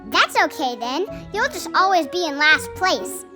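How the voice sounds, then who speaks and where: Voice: very high-pitched, big pitch swings, unhurried, over music, exaggerated voice. A performer voicing a young boy flying squirrel bully with a taunting manner in a children's audio drama. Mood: mocking.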